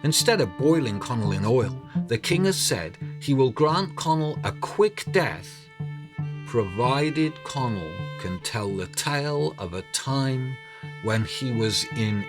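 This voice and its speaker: Male storyteller, deliberate slow pace, theatrical, suspenseful narration, faint instrumental music. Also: mid-range voice, animated. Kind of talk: dramatic oral storytelling, scripted narration.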